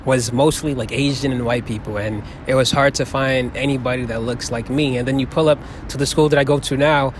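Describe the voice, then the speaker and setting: A man's voice: medium pitch, fairly quick, hardly any pauses. A young man, chatting animatedly in a street interview outdoors. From the Bronx, New York. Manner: animated storyteller, laughs often. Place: outdoor plaza, city park. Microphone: handheld phone held to him. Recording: faint outdoor city noise.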